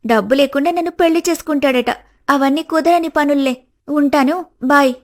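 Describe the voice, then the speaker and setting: A woman playing a coy, sharp-tongued, money-minded young woman in scripted cartoon story dialogue. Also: high-pitched, a little fast, natural voice. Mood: scornful.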